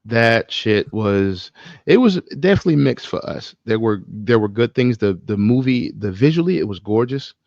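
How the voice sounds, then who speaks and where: A man's voice: on the low side, lively intonation, normal speed, a little mellow, no echo, dull audio. A man co-hosting a casual podcast chat about movies, speaking frankly in informal slang with some swearing. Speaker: podcast co-host.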